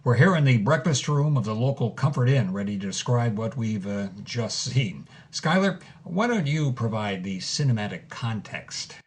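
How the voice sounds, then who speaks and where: A man's voice: medium pitch, lively intonation, normal speed, few pauses, natural voice. Man playing a podcast host on location. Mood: brisk, informative. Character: earnest male documentary podcast host. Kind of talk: on-location podcast narration in audio drama.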